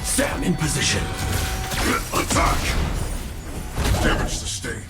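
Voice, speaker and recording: An armored mech warrior from a video game, cold and battle-hardened. The delivery is slow and clipped like a military report, with big pitch swings, over music. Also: wildly swooping pitch. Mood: cold combat focus.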